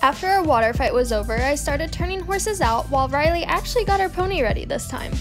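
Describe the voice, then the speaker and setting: A woman's voice: high voice, animated, fast talker, over music. A woman, chatting casually to her vlog camera. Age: 20s. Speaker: vlogger. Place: outdoors and in barns at a horse farm. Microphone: handheld camera at arm's length.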